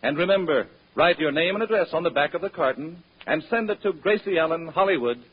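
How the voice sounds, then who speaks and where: An American man announcing in polished old-time radio advertising style, with a smooth sales delivery. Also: on the high side, animated, fairly quick. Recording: dull old radio broadcast recording.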